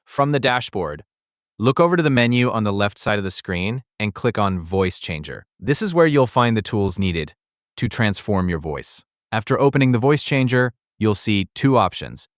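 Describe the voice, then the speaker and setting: Low-voiced man narrating a tutorial. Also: no room sound, studio quality. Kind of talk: scripted software tutorial voice-over.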